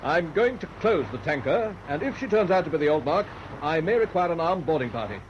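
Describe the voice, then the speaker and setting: An actor playing a decisive British warship captain in a wartime radio play, brisk and authoritative. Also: male voice, a little high, expressive, lightly acted. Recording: muffled, noisy old radio drama recording.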